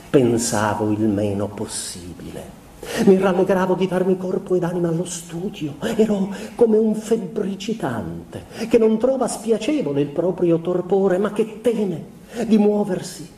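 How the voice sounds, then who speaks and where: Male actor for a tormented, introspective man in a stage monologue: dark, soft-edged tone, measured confession, roomy distant sound. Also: high-pitched. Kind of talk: theatrical literary monologue. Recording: roomy, distant, faint background noise.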